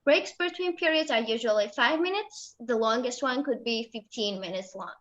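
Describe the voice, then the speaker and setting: Bright-voiced Georgian teen girl presenting. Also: on the high side, dull audio. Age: late teens. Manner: halting, with many fillers and self-corrections. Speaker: student presenter. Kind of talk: presenting slides about her country.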